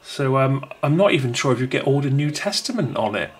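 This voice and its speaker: A man's voice: mid-range voice, expressive, a little fast. A man rambling casually as he reviews a small gadget at home, with frequent restarts. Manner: rambling, chatty, many restarts. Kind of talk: casual unboxing talk.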